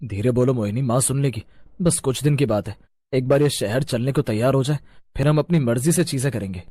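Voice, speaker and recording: A man voicing a placating young husband and henpecked son in an animated family story. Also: medium pitch, brisk, dry recording, clean studio sound, lightly acted.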